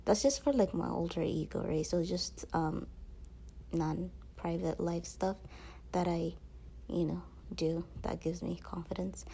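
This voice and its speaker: A woman's voice: on the low side, measured pace, creaky, mellow, breathy edge, poor audio. A woman vlogger chatting casually to her viewers in a rambling, slangy way. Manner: rambling, peppered with slang and filler phrases.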